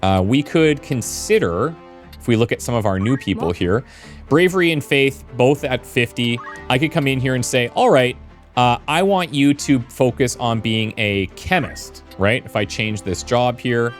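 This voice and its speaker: A man's voice: mid-range voice, animated, normal speed. A man giving casual let's play commentary as a video game streamer. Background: game music.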